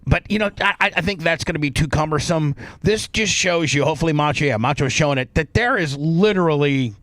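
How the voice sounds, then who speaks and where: An American man with a slightly high voice hosts talk radio in a close, dry sound. He rambles through an off-the-cuff sponsor pitch, repeating himself and punching out lists. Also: a little fast, fairly bright tone, no echo. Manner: rambling, repetitive, emphatic listing. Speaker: radio show host.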